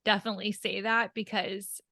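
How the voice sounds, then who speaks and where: A woman with a bright, crisp-edged voice chats on a podcast. Her delivery is conversational and candid, with plenty of "like" along the way. The sound is dry and close, with very little room. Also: mid-range voice, level tone, brisk, no echo. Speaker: podcast co-host. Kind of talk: casual podcast chat.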